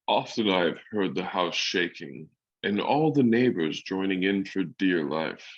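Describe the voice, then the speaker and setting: A man's voice: low-pitched, expressive, a little slow, muffled recording. A man giving scripted storybook narration as the narrator of a radio drama.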